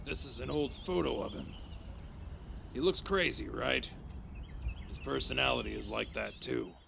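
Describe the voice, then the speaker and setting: A man playing a knowing senior police detective in an anime dub, with a gravelly voice. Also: mid-range voice, measured pace, sharp tone, a little hiss or hum, heavily acted. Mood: quiet, confiding.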